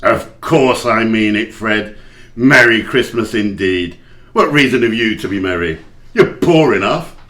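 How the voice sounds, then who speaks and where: A man's voice: on the low side, measured pace, fairly bright tone. A man playing a grumpy, miserly old uncle in an audio play, curt and scornful. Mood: scornful, irritable. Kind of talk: amateur audio play acting.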